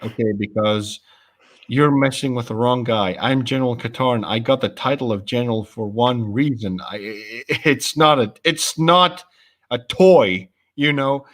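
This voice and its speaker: A young man with a deep voice chats casually on a stream. He rambles through stories in an animated way, full of fillers and restarts. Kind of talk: casual chat.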